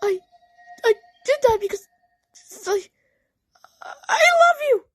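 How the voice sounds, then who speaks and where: A woman's voice: very high voice, animated, slow, dry recording, soft background music, voice pushed up, heavily acted. A woman voicing a nervous, lovestruck female cartoon cat in an audio play, stammering and gushing. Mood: shaky, lovestruck.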